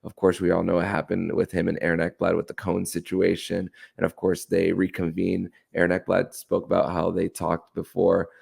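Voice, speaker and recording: Very deep, creaky-voiced male podcast host. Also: monotone, fairly quick, hardly any pauses, darkish tone. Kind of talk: solo podcast commentary on hockey.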